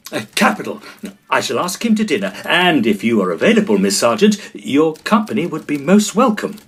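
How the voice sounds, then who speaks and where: A man playing a fussy, curious Edwardian gentleman ghost hunter in a tongue-in-cheek period audio drama, speaking in a formal, exclamatory, old-fashioned way. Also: fairly high pitch, very animated, moderate pace, slightly rough. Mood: eager, curious.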